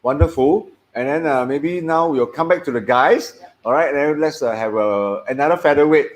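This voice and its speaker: A man's voice: on the high side, normal speed. A man, hosting a casual stream and rambling with lots of fillers and restarts. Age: 50s. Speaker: host. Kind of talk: casual live-stream chat.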